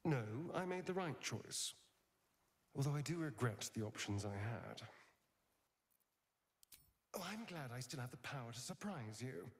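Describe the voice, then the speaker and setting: A man's voice: mid-range voice, big pitch swings, measured pace, pauses often, husky, heavily acted. An actor playing a charming, wounded male vampire spawn in a video game, with a theatrical, drawling, wry delivery. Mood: bitter, wistful.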